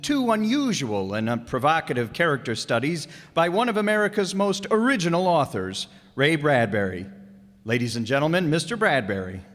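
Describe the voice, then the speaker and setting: A man's voice: a little high, sing-song, normal speed, a bit bright. A man playing a formal old-time radio announcer and storyteller, reading scripted narration. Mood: formal, grand. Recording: reverberant hall with music behind.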